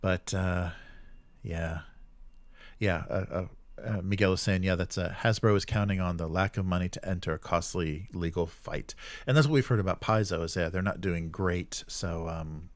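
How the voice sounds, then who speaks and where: A man hosting a casual livestream, giving opinionated commentary in a halting, rambling way. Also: sits low, fairly even intonation, no room sound. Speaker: live show host.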